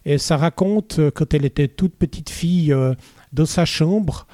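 A man's voice: fairly high pitch, moderate pace, mellow, dry recording, studio quality. A middle-aged man with a Vaud Swiss French accent, a musician chatting in an interview with many hesitant fillers. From Switzerland. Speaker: musician guest. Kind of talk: casual interview chat.

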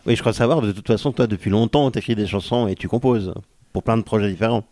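Brief: male host, low voice, dry close sound, easygoing questions. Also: fast talker, dry recording. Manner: relaxed, brief agreeing responses, friendly informal questions. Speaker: interviewer host. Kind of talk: casual interview chat.

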